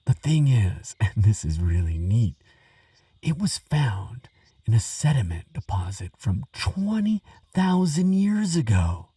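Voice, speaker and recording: Husky, earnest archaeologist, acted by a man, lilting and unhurried. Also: mid-range voice, big pitch swings, no echo, recorded from a distance, exaggerated voice. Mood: eager, fascinated. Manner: stammering, musing, wonder-filled, gentle. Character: earnest, excitable archaeologist, kind to a monster friend. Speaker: audio roleplay performer. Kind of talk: relaxing scripted audio roleplay, spoken to the listener.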